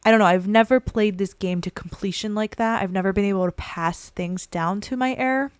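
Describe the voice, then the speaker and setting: Croaky woman with a cold, chatting casually. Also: mid-range voice, fairly quick, no room sound. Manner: relaxed, chatty, self-deprecating. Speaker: gaming channel host. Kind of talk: casual gameplay commentary.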